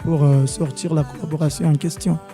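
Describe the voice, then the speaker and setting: A man's voice: on the high side, level tone, brisk, warm tone, faint music behind. A man from Congo, a musician guest in a radio studio interview, mixing in Swahili and hesitating with many fillers.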